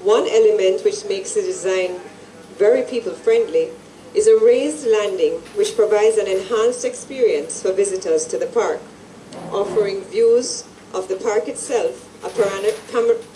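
A middle-aged woman reading a formal scripted presentation at an event in a measured way. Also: medium pitch, warm tone, roomy sound, distant mic, a little hiss or hum, rough recording. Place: large indoor event hall with stage and audience. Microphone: handheld microphones at a lectern, through PA.

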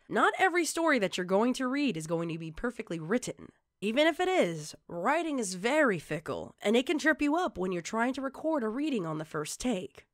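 Woman doing tutorial voiceover, instructional, animated intonation, dry recording no room sound. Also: medium pitch, no echo. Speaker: fanfiction narrator presenting tips. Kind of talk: scripted tutorial narration.

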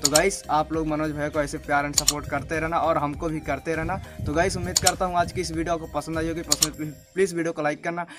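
A man's voice: on the high side, flat delivery, fairly quick, hardly any pauses. A young Indian man in his late teens talking casually to camera as a video host. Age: young adult, late teens. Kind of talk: casual vlog talk to camera. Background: music.

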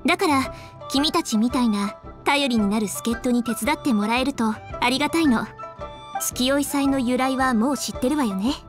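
A woman's voice: high-pitched, normal speed, close to an everyday voice. A woman voicing a proud, refined, dutiful young lady official in an anime game, with poised feminine speech. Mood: composed, earnest. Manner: poised, formal feminine speech, flustered when teased. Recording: faint music behind.

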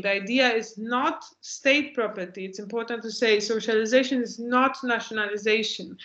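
A woman's voice: on the high side, fairly bright tone, dull audio. Woman on an echoey video call. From Poland. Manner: thoughtful, restarts phrases while searching for words. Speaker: lecturer. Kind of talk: conversational lecture answer. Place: home room with bookshelves. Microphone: laptop mic on video call.

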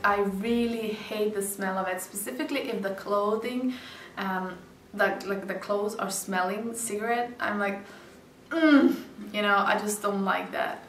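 Young Serbian woman, bright voice, casual chatty vlog, roomy echo, a bit off-mic. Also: mid-range voice, far from the mic. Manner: chatty, laughing, many likes and ums. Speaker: beauty vlogger. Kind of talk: casual talk to camera. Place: bright living room with sofa and plants.

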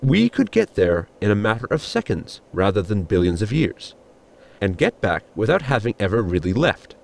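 A man playing a formal, pedantic science officer in a sci-fi comedy audio drama, with a gravelly voice and precise technical wording. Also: fairly low pitch, sing-song, normal speed, darkish tone, exaggerated voice. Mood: eager, formal.

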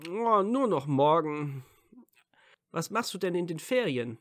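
Casting: man as easygoing, teasing young male wizard student for fan audio drama, slightly high voice, casual delivery. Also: lively intonation, measured pace, a bit bright, dry recording, studio quality. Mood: casual, amused.

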